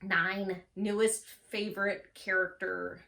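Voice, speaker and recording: A woman's voice: mid-range voice, flat delivery, slow pace, vocal fry, bright, forceful tone, slight background noise. A young adult woman vlogging in a casual, gushing chat with offbeat dry asides. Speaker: book vlogger. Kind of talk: casual book chat to camera.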